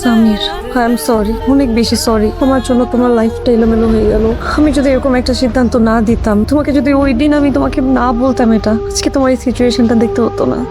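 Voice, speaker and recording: Actress playing high-voiced, intense young wife. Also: female voice, steady tone, quick pace, hardly any pauses, natural voice. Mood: remorseful. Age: young adult. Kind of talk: emotional TV drama acting. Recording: dramatic music over dialogue.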